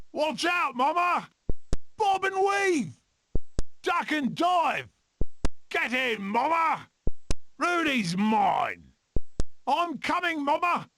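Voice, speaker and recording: Cocky weasel adventurer for a cartoon game, high bright voice that swoops all over, theatrical and boastful. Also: male voice, slight background noise, exaggerated voice. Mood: urgent, rallying. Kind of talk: animated film video game voice clips.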